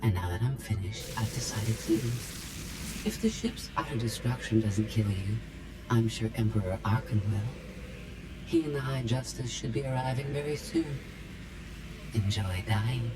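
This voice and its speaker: An actress playing a rogue female droid mastermind in a video game, deliberate, clinical and gloating, with a synthetic robotic tone. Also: extremely low pitch, lively intonation, noisy background. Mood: smug, gloating. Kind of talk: video game villain acting. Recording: game music under the voice.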